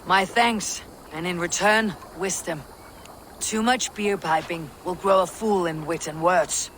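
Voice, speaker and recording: A woman's voice: fairly low pitch, unhurried, slight background noise. A woman playing a blunt, steely Viking warrior in a video game, terse and dry in manner. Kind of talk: video game character acting. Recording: loud music behind the voice.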